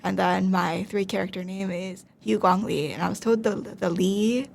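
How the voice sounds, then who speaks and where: A woman's voice: mid-range voice, fairly even intonation, normal speed, gravelly. A young woman from the United States in a casual chat, telling stories in a hesitant, self-deprecating way with frequent "um". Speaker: guest teacher.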